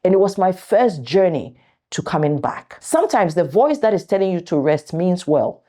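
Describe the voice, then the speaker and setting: A middle-aged woman giving a motivational talk as host, speaking deliberately and emphatically in short, weighted phrases with pauses. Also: on the low side, very animated, warm tone. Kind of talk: motivational talk to an audience of viewers.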